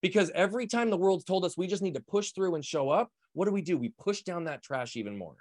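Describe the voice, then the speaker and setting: A man guest on a podcast, explaining in an emphatic way, who talks fast and loud with big energy. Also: sits high, expressive, no room sound, studio quality. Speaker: guest, performance coach.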